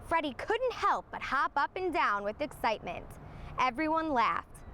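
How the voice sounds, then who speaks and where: A woman narrates a children's storybook expressively. Her voice sits high and rises and falls a great deal. There is clear noise in the background. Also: moderate pace. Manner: expressive read-aloud. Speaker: storybook reader, meteorologist.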